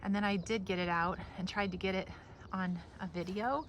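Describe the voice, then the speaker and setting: A woman's voice: medium pitch, fairly even intonation, normal speed. Enthusiastic woman vlogging outdoors, noisy ambience. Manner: enthusiastic, gushing. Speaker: garden vlog host. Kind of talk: casual rambling vlog chat. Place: outdoors in a home garden.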